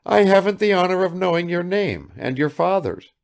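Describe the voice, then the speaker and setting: A man's voice: high voice, big pitch swings, moderate pace, creaky, pitched above the performer's own voice, full character voice. A man reading aloud and voicing a tearful, doting little old woman who speaks in a quavering, faltering way.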